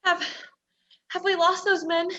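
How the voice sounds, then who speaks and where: A high-voiced, prim young woman, played by a female actor, protesting indignantly as a defiant captive in a radio play. The sound is somewhat dull and lo-fi. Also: level tone, unhurried, sharp tone, heavily acted. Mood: distressed, breathless. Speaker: student stage actor. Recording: dull, lo-fi virtual recording.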